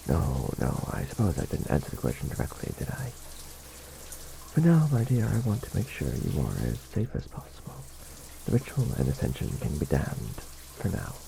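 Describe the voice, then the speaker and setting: A man playing an ancient vampire lord in an ASMR romantic roleplay, formal and protective, with measured, theatrical diction. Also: extremely low pitch, very animated, creaky, full character voice, low-quality recording. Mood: earnest, protective. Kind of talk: ASMR romantic roleplay, scripted character acting.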